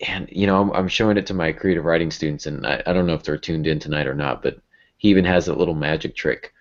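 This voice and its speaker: A man's voice: rumbling low, fairly even intonation, fast talker. A man hosting a casual podcast in a playful, joking manner. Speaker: podcast host, creative writing teacher. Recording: dull, muffled audio.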